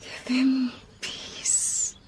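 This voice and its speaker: Audio drama dying woman: very slow, soft muted tone, frail whisper, faint outdoor ambience. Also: a little hiss or hum. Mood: tender, fading. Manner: weak, breathy whispering.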